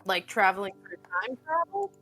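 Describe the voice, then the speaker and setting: A woman's voice: medium pitch, sing-song, normal speed, sharp tone, husky, faint music behind. A woman improvising in a casual tabletop role-playing game with a laconic, dryly understated manner.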